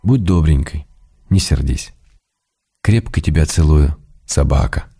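An actor playing an ailing middle-aged writer in a radio drama, reading his love letters in an intimate, measured, wry way. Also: extremely low pitch, warm tone, slightly rough, heavily acted. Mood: tender, weary.

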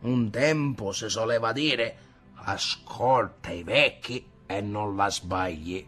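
A man's voice: fairly low pitch, slow, a bit bright, slightly rough, soft background music, heavily acted. A man voicing a stern, weary old grandfather who scolds his grandson in a storybook reading. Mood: scolding.

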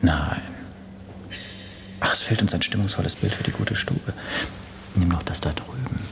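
Casting: wry male companion, deep and heavily creaky, teasing in mock-lofty tones, radio play. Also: moderate pace, heavy background noise. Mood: ironic, teasing. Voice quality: gravelly. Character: wry man, teasing companion musing on sin and curses. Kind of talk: naturalistic radio drama dialogue.

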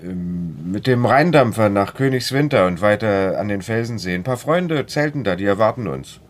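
A man's voice: lowish voice, expressive, normal speed, a little hiss or hum, natural voice. An actor playing a serious, defiant young man in a German radio play. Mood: evasive, restrained. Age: young adult.

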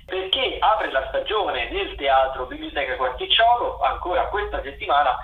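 A man giving an informal live listings rundown as a theatre critic, often pausing to find his words. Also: fairly high pitch, big pitch swings. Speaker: theatre critic, regular radio contributor. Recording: dull, echoey distant line, faint noise.

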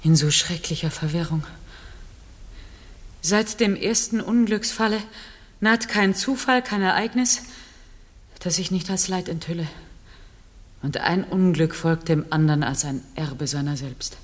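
A woman's voice: fairly low pitch, a little slow, long pauses. Troubled, proud noblewoman. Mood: anguished doubt. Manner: declamatory, emotional, formal. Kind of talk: classical verse radio drama.

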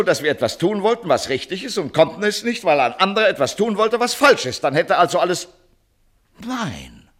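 An actor playing a flustered, authoritarian radio station director in a theatrical radio play, speaking in a commanding, declamatory way. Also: male voice, fairly high pitch, fairly bright tone. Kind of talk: theatrical early German radio play acting.

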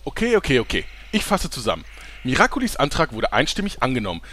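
A man's voice: mid-range voice, huge pitch swings, fast talker, fairly bright tone, a little hiss or hum. A man playing a fussy, chummy chronicler in a German fantasy parody audio drama. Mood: officious. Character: fussy, chummy male chronicler taking notes.

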